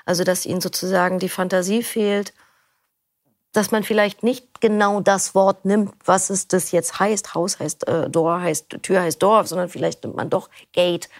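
A middle-aged woman with a low, gravelly voice, talking through her answers in a loose, thoughtful way. She restarts often and fills pauses with small hesitations. The sound is dry and close. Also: steady tone, dry recording. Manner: thoughtful, rambling with restarts and fillers. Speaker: interview guest, audiobook narrator. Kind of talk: casual interview answers.

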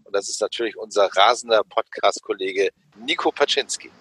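Male podcast co-host, husky, dry close sound, relaxed. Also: fairly low pitch, brisk, dry recording, low-quality recording. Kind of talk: casual chat.

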